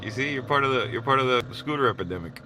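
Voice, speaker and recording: A man's voice: medium pitch, lively intonation, fast talker. A man hosting a casual livestream, talking in an informal, rambling way. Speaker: livestream host listening to police scanner. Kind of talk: casual livestream chat and commentary. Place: in a vehicle on city streets. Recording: clear car and traffic noise. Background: vehicle and street noise.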